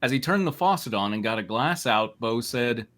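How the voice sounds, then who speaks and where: A man's voice: medium pitch, lively intonation, moderate pace, a bit bright. Amused man reading comic fiction aloud. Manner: amused, wry. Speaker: narrator and reader. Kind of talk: comic fan fiction reading with casual asides.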